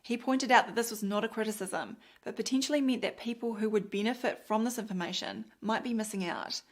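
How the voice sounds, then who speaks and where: Kiwi woman reading her book aloud. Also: fairly high pitch. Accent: New Zealand. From New Zealand. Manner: measured, deliberate reading. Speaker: doctor and author narrating her audiobook. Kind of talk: scripted audiobook narration.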